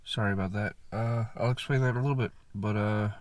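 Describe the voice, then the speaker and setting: Congested man with a low voice. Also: fast, dry recording. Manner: relaxed, filler-heavy explaining. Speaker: car repair channel host. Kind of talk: casual how-to walkthrough. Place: working at a car.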